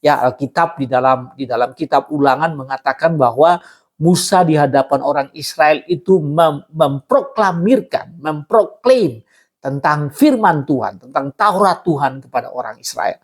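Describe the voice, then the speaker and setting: A man's voice: a little high, expressive, moderate pace. A man preaches an expository Bible sermon, addressing listeners directly and restating his phrases for emphasis. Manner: repeats and rephrases phrases for emphasis.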